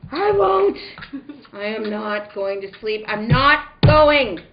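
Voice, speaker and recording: A woman's voice: fairly high pitch, very animated, takes it slowly, exaggerated voice. An actress playing a frightened, sleepless young wife who narrates her nightmares melodramatically in an amateur old-time radio drama. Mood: desperate, resisting sleep. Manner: melodramatic, swinging from hushed to crying out. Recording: dull, echoey room with faint noise.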